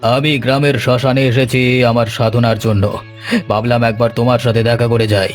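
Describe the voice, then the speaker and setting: A man voicing a stern, sly occult holy man in a horror cartoon, ominous and deliberate in manner. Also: mid-range voice, level tone, soft background music, natural voice.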